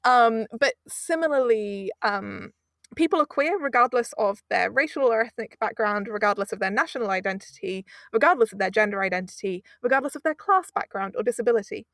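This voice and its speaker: Woman, fairly high voice, emphatic interview guest, rhetorical asides. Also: fairly quick, fairly bright tone, dry recording, studio quality. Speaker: audio drama producer, guest. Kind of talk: conversational interview.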